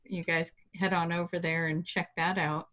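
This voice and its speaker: A woman co-hosts a relaxed interview. Her voice is on the lower side for a woman, with a bright, crisp edge. She speaks conversationally and backs up what the guest says. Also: moderate pace. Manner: supportive, agreeing. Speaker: interview co-host.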